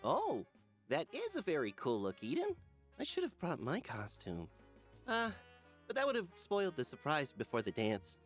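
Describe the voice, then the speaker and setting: An animated male schoolteacher played by an actor addresses a class with theatrical cheer and dry wit. The pitch swings widely around a middle register, with soft music underneath, as in a visual novel. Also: normal speed. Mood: playful. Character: upbeat, dry-humored middle school teacher, a man.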